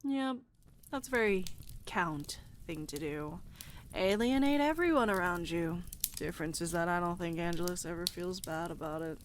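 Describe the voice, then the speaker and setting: Sardonic female commentator actress, dry irreverent banter for fantasy drama, lower register, faint background noise. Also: normal speed, lightly acted. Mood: sarcastic. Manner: dry, casual, wry. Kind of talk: conversational voice acting in fantasy drama.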